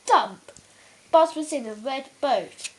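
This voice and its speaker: A woman reading a children's bedtime story aloud, haltingly, stumbling over words and correcting herself. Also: high voice, expressive, a little hiss or hum. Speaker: storybook reader.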